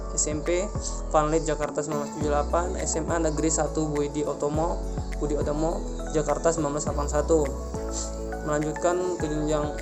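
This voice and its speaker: Flat-toned young man, music behind. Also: on the high side. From Indonesia. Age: young adult. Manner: halting reading with repeated and stumbled words. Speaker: university student giving a presentation. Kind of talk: scripted narration read aloud.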